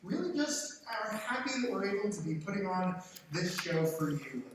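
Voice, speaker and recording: A man who is a theater teacher and director, giving an informal, somewhat halting welcome speech to an audience. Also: sits high, sing-song. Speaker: theater teacher and show director introducing a play. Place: large theater stage before an audience. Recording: very echoey auditorium, far off, faint noise.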